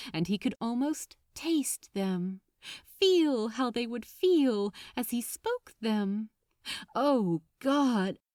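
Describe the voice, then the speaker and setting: Expressive, high-pitched, unhurried woman narrator. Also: no echo, studio quality. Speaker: audiobook narrator. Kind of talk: dramatic scripted fiction narration.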